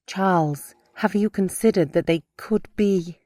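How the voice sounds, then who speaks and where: A woman's voice: mid-range voice, a little slow, mellow, close to an everyday voice. A woman playing a formal, composed alien noblewoman in an audio drama. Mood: concerned.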